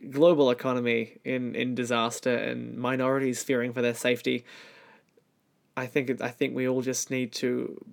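A man's voice: medium pitch, vocal fry. A man with an Australian accent, hosting a podcast and interviewing a guest in a chatty way. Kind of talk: podcast introduction and interview chat.

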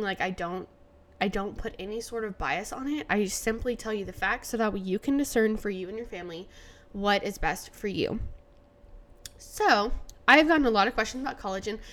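A woman's voice: mid-range voice, a bit bright. A young woman hosting a casual solo podcast, sounding nasal and congested from allergies, chatty with many fillers. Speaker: podcast host.

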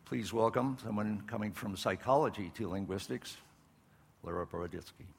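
Deep gravelly man in an echoing hall. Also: moderate pace, background noise. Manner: conversational with frequent fillers. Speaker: host introducing a speaker. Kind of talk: live talk introduction. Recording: long reverb, faint ambience.

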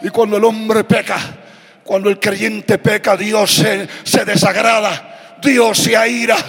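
Male preacher, very high voice, sing-song shouting delivery, big echoing hall. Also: creaky, husky. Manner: chanting, half-sung shouted preaching. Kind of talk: impassioned sermon. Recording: long hall reverb.

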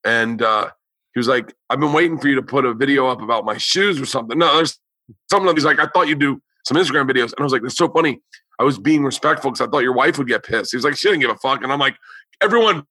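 Male comedian-host, casual swearing storyteller, bright tone, dry studio sound. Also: mid-range voice, animated, fast, dry recording, poor audio. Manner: loose, profane storytelling with quoted voices. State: losing his voice. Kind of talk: casual chat.

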